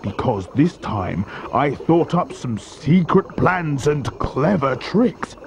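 A man's voice: on the high side, sing-song, creaky, slight background noise, heavily acted. A man voicing an enormous, greedy, boastful crocodile, a sly storybook villain who gloats and savours each word. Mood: sly gloating. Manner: gloating, savouring words slowly. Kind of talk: children's storybook character voice.

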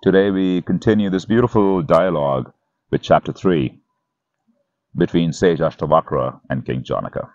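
A middle-aged man reading and introducing spiritual scripture in a serene, measured, solemn manner, as a teacher would. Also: low-pitched, a little mellow, no room sound. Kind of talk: slow scripture reading and commentary. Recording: dull, muffled sound under music. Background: instrumental music.

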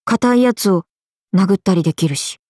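A woman voicing an earnest, airheaded, stoic young swordswoman in an anime game, speaking in a plain deadpan way. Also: medium pitch, moderate pace, slightly rough, dry recording, clean studio sound. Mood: proud, matter-of-fact. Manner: deadpan, plain, slightly blunt. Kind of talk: anime game character voice acting.

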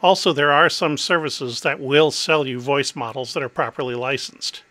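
A middle-aged man hosting a tech demo, explaining in a clear, methodical way. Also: mid-range voice, fairly even intonation, normal speed, sharp tone, dry recording. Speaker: host.

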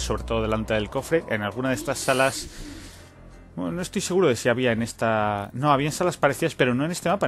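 A man's voice: mid-range voice, fairly bright tone. A man giving informal let's-play commentary, thinking aloud as he plays and swearing now and then. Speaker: gaming channel host.

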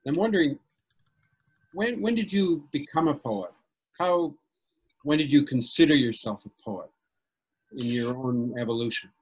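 A man with a slightly husky voice asking the guest thoughtful questions over a video call. He speaks in a measured, polite way at an ordinary pitch. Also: lively intonation, muffled recording. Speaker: audience questioner.

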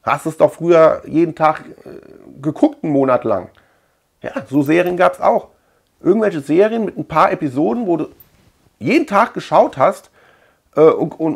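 A man's voice: a little high, vocal fry, husky. A man chatting casually as a video host, rambling colloquially and repeating words for emphasis. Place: small room at home lined with shelves.

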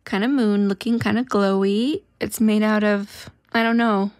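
A woman's voice: mid-range voice, steady tone, moderate pace, a little mellow, no echo, clean studio sound. A woman co-hosting a podcast in casual chat, giggly and chatty, full of "like". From Pacific Northwest. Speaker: podcast co-host.

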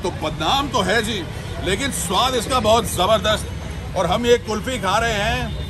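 A middle-aged man hosting a food vlog, chatting and joking as he describes the food on an outdoor market street. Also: high voice. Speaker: food show host. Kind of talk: casual food vlog chat. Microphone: phone camera at close range. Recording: outdoor street crowd behind.

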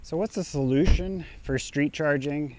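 A man's voice: on the high side, unhurried, darkish tone, low-quality recording. A young man, an engineer, casually explaining to camera while standing outdoors on a residential street. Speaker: engineer and startup founder.

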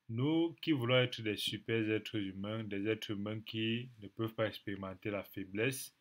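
A man in his thirties, sick with a cold and clearing his throat, teaching from the Bible to a webcam in a small room at home, repeating phrases slowly for emphasis. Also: fairly low pitch. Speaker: preacher.

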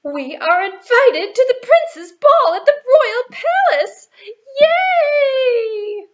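A woman voicing cruel, bossy, gloating stepsisters in a puppet show for children, loud and demanding. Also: extremely high pitch, very animated, very slow, dull audio, voice pushed up, full character voice. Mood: gleeful.

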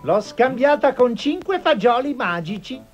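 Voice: medium pitch, sing-song, measured pace, over music. A performer voicing a naive village youngster in an Italian cartoon dub, exclaiming expressively. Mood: eager. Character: naive lazy village boy, and his scolding mother.

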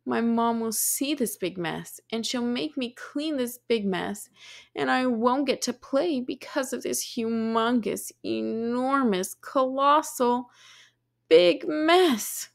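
A woman voicing a busy, excitable little girl in a storybook, dramatic and exclamatory, stretching out her words. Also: on the high side, takes it slowly, gravelly. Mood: dismayed.

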